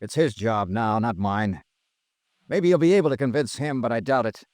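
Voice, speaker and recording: An American actor playing a cool, accusing insurance investigator in a 1950s radio drama, with a clipped, deadpan delivery. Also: male voice, medium pitch, normal speed, dry recording, lightly acted. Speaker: lead actor and narrator. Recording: vintage radio drama, dry, music behind.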